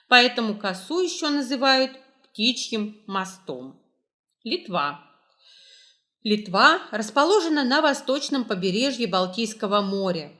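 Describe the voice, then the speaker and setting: A woman reading scripted educational travel narration with measured, clear phrasing. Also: fairly high pitch, a bit bright. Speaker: narrator, library staff.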